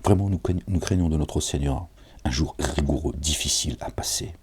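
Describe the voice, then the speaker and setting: Male preacher, very deep voice, husky; reads scripture loosely, sounds tired, chatty asides. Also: normal speed, a little mellow. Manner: casual, digressive, chuckles, addresses listeners directly. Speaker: self-styled preacher reading and commenting on scripture. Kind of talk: informal scripture reading with commentary.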